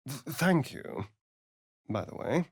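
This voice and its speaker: A man's voice: mid-range voice, lively intonation, slow pace, a little mellow, husky. An actor playing a sardonic, flirtatious male vampire spawn elf in a video game, with a theatrical, teasing drawl. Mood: sly.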